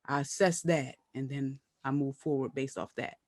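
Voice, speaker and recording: A woman with a Brooklyn accent, a comedian guest chatting casually and telling animated stories with frequent laughter. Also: rumbling low, normal speed, dry recording, studio quality. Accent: Brooklyn, New York. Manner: laughs often, animated storytelling. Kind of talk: casual interview chat.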